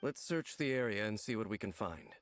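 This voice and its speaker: A man voicing a grave, stoic, ageless wanderer in a video game, speaking in a measured, serious, matter-of-fact way. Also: lowish voice, expressive, close to an everyday voice. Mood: calm, matter-of-fact. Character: grave, stoic centuries-old male wandering warrior, terse and watchful. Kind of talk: video game character dialogue.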